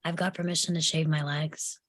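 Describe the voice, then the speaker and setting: A woman's voice: low voice, little pitch movement, brisk, a bit bright. A woman playing a guarded, questioning young psychiatric patient in a live script table read. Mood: guarded, probing.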